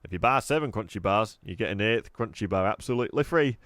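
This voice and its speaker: A man's voice: lowish voice, expressive, fast talker, fairly bright tone, no echo. A man voicing a jolly, chatty middle-aged male shopkeeper with eager salesman patter, in a dry recording. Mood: cheery salesy.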